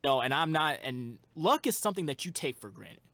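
A man's voice: fairly high pitch, sing-song, brisk, a bit bright, dry recording. A young man who makes music, a guest in a casual interview, talking earnestly and rambling with lots of fillers.

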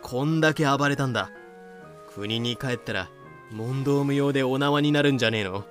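An actor playing a rough, casual-spoken, doubtful pirate crewman in an audio drama. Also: mid-range voice, normal speed, soft background music, close to an everyday voice.

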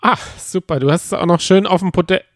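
A man's voice: sits high, fast, no room sound, clean studio sound. A man co-hosting a casual podcast, giving recommendations in an informal, self-correcting way. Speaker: podcast co-host.

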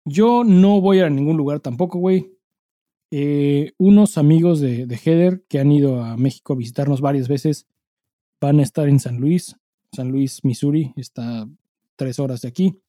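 A man's voice: fairly high pitch, dark tone, no room sound, clean studio sound. A Mexican man co-hosting a podcast in casual chat, swearing freely and speaking with emphasis in heavy slang. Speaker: podcast co-host.

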